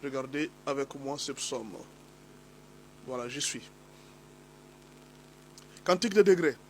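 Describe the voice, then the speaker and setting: A man with a cold and a slightly hoarse voice, preaching a sermon as a pastor, emphatic and repetitive as he exhorts his listeners. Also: mid-range voice, slow pace, slight background noise, rough recording. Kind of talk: sermon teaching.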